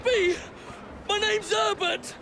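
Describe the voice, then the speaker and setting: A man's voice: extremely high pitch, steady tone, takes it slowly, background noise, heavily acted. An actor playing a cheeky young errand boy, protesting eagerly in a game cutscene.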